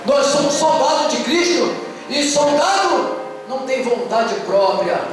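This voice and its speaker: A man, preaching a fervent sermon as pastor and swinging between hushed and shouted, sing-song phrasing. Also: very high voice, sing-song, unhurried. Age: 50s. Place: church service. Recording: very echoey hall, picked up from a distance. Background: music playing.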